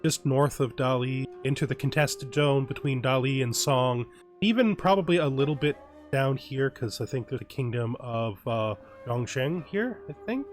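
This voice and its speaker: A man giving relaxed let's play commentary on a strategy game, thinking aloud and often restarting his sentences. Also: mid-range voice, moderate pace, soft background music. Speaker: gaming YouTuber.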